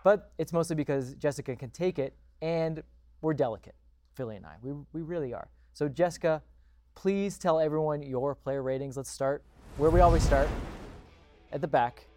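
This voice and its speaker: Low-voiced woman hosting with playful banter. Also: normal speed, dark tone. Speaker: sports show host. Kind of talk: casual sports panel chat.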